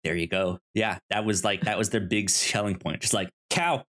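A man co-hosting a podcast, chatting casually about film and haltingly repeating words as he thinks. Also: fairly low pitch, a bit bright. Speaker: podcast co-host. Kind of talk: casual film discussion chat.

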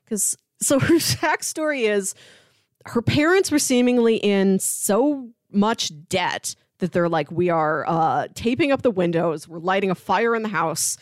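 A woman's voice: medium pitch, no room sound. Woman chatting casually in dry close sound. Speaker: podcast host. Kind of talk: casual TV review chat.

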